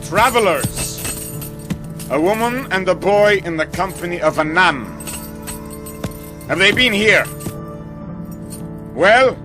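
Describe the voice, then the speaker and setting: An actor playing a cold, sinister, demanding stranger disguised as a shepherd in a radio drama. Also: male voice, high voice, animated, slow, long pauses, music in the background. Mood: cold menace.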